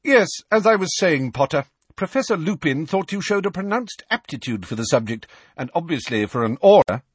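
A man voicing a stern, crisp older female professor who speaks curtly and haughtily. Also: on the high side, expressive, normal speed, no echo, voice pushed up. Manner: clipped, curt, haughty.

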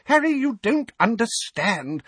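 A storybook old lady, put on by a male narrator, flustered and indignant. The voice is pitched very high and swings wildly, with a husky edge. She scolds and exclaims with great melodrama. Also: sing-song, measured pace, a bit bright, no room sound, heavily acted. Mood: distraught. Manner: shrieking, fussing, exclaiming. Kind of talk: audiobook character voice.

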